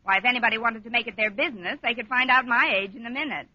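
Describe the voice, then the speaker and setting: An actress playing a wry woman schoolteacher with deadpan sarcasm and crisp timing in a 1940s radio comedy. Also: on the high side, very fast, close to an everyday voice. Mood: dry, jealous. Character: wry, wisecracking woman high school teacher. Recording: muffled noisy old radio recording.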